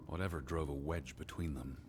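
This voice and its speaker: An actor playing a weary, skeptical 1920s American naturalist in a video game, speaking in a measured, dry, reflective way. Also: male voice, rumbling low, fairly even intonation, a little mellow, a little hiss or hum. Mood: somber musing.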